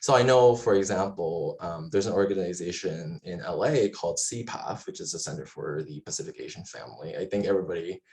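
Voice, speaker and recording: Low-voiced man speaking casually on a panel. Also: fairly quick, few pauses, darkish tone, slight background noise. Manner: hesitant phrasing with frequent hedging. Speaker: panelist, violence prevention advocate. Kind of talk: informal panel discussion.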